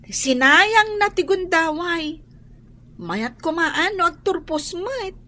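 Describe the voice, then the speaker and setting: A woman's voice: very high voice, expressive, takes it slowly, pushed and bright, full character voice. A woman playing an earnest, frank young schoolchild in an Ilocano family drama.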